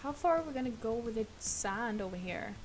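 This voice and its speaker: A young woman with a Swedish accent, a native Swedish speaker, chatting casually and rambling softly while she plays a game on stream. She speaks with a sore throat. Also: fairly high pitch, animated, fairly quick, soft background music. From Sweden. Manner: rambling, soft, laughs often. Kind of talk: casual chat while gaming. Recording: loud noise behind the voice.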